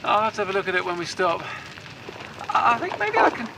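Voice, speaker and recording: A woman acting a shaken but determined, compassionate survivor in a horror audio drama, halting and stammering through her words. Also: mid-range voice, big pitch swings, creaky. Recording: noisy outdoor scene effects.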